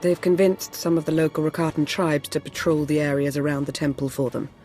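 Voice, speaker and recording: An actress playing a cool, precise intelligence agent in a video game, with crisp, formal delivery. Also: female voice, sits low, flat delivery, brisk, natural voice. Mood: cool, alert. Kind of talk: video game dialogue acting. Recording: loud soundtrack music under dialogue.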